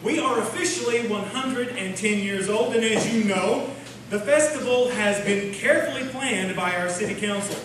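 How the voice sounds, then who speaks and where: A man's voice: sits high, normal speed, noisy background, lightly acted. A man playing a folksy small-town host of a comic radio revue, announcing the segments. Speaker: show host. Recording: very echoey stage, distant mic, music.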